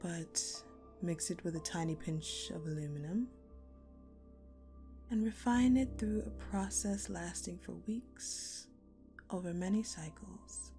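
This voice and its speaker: Woman acting a slow, sadistic ice-queen general. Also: on the low side, dark tone, soft background music. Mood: cool, calculating. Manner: deliberate, condescending, mocking, with dramatic pauses. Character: sadistic, coldly menacing ice-queen general, commanding and mockingly sweet. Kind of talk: dark audio roleplay acting, addressed to the listener.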